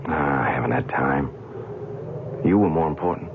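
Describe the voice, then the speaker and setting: A man's voice: extremely low pitch, normal speed. An actor playing an earnest, lovestruck and bewildered man in a radio drama, emotional and incredulous. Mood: nervous, smitten. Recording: narrow-band old radio, loud noise.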